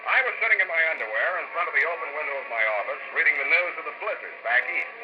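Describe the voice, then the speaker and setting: An actor playing a wry, hard-boiled private detective in a deadpan, sardonic 1940s radio style. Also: male voice, a little high, rapid-fire, natural voice. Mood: wry narration. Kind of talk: 1940s radio acting, first-person narration and dialogue. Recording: noisy, muffled old-time radio recording.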